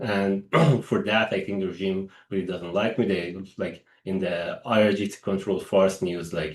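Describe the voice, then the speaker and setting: A very low-voiced man from Iran, Persian his first language, answers questions at a press briefing. He speaks earnestly and halts to restart his phrases. The sound carries a noticeable room echo. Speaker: guest analyst.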